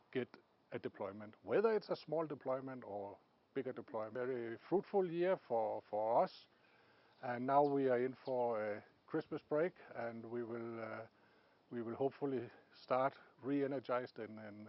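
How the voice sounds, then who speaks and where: A man with a Danish accent, a technical advisor speaking haltingly in an interview, with many fillers and restarts. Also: medium pitch, lo-fi sound. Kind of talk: interview statement.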